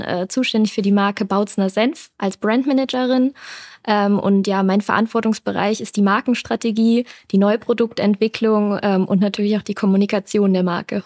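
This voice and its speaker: A woman's voice: mid-range voice, a little mellow, no room sound, clean studio sound. A woman from western Germany, explaining things conversationally as an interview guest. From Düsseldorf, North Rhine-Westphalia, Germany. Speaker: brand manager, podcast guest.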